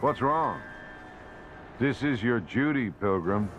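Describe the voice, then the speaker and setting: An actor playing a stern, haughty holy official in a video game, speaking in a formal, commanding way. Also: male voice, mid-range voice, animated, slow pace, lo-fi sound. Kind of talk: dramatic video game voice acting. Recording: game audio with music behind.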